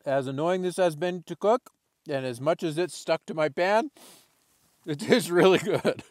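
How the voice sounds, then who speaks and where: A man in his late twenties, cold, vlogging from inside a small tent on a snowy summit in a thoughtful, candid, self-deprecating way, with halting restarts. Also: a little high, darkish tone, dry recording. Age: late 20s. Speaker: outdoor filmmaker. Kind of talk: casual reflective vlog narration.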